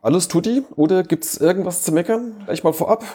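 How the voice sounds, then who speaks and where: A man hosts a casual fan podcast over a remote call. He talks in a chatty, joking way and often restarts his sentences. His voice is mellow and somewhat husky. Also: sits high, fairly quick. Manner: chatty, self-interrupting, joking. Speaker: podcast host.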